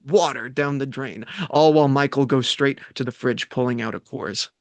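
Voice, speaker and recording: Mid-pitched man narrating stage directions. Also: moderate pace, gravelly, no room sound. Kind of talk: scripted narration.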